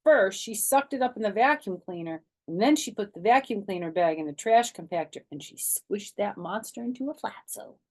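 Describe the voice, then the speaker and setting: A woman's voice: mid-range voice, lively intonation, moderate pace, few pauses, a little mellow, voice pushed up, natural voice. A woman acts out a confident little schoolgirl from a children's storybook. The voice is lifted and emphatic, with a breezy, matter-of-fact way of explaining things. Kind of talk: children's storybook character reading.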